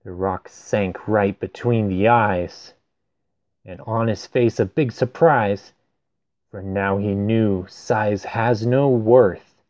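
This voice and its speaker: A man's voice: on the low side, steady tone, takes it slowly, darkish tone, no room sound. A man reading a rhyming children's picture book aloud, adding simple casual explanations for young listeners. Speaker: storyteller.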